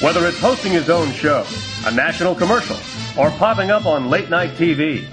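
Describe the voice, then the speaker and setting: A man's voice: on the high side, expressive, brisk. A man reading a scripted promotional voice-over in a polished announcer delivery. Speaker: promo narrator. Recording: music bed behind the voice. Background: music.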